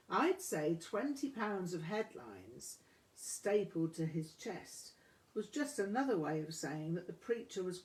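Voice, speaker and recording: A woman's voice: on the low side, a little mellow. A woman reading a memoir aloud as scripted narration, voicing the dialogue within it. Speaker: audiobook reader. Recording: roomy, echoing room sound.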